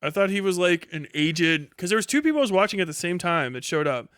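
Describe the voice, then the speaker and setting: A man's voice: sits high, animated, fast, a bit bright, clear, resonant tone, dry recording, studio quality. A man in his thirties doing casual livestream commentary and reading chat in a blunt, sweary, incredulous way. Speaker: live streamer.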